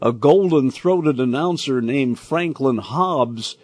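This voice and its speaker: An older American man from Indiana narrating a scripted personal reminiscence as host, in a measured storyteller's way. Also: mid-range voice, a little mellow, dry recording. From Indiana, United States.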